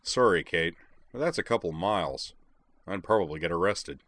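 A man's voice: low voice, expressive, normal speed, a bit bright, no room sound. A man voicing a big, brawny, good-natured guy with a wry, matter-of-fact manner in an audiobook story. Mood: wry, grumbling.

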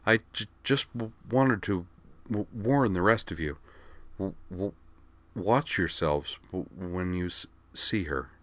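A man plays an angry young woman student in a story reading. She stammers hard on her consonants, halting and clipped, stubborn and tight, in a dry recording. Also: low voice, dry recording. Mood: guarded, stubborn.

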